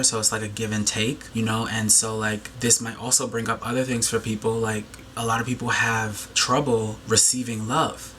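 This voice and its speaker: A man's voice: fairly low pitch, fairly bright tone, a little hiss or hum. A man in his thirties talking earnestly to camera in a rambling way, with lots of "like" and restarts. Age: 30s. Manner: earnest, rambling, heavy use of "like". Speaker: video host. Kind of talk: reflective monologue to camera.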